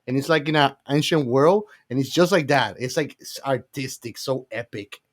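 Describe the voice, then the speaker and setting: A man's voice: medium pitch, normal speed. A man co-hosting a podcast, chatting casually in an enthusiastic, emphatic and playfully argumentative way. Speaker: podcast co-host. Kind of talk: casual fan chat.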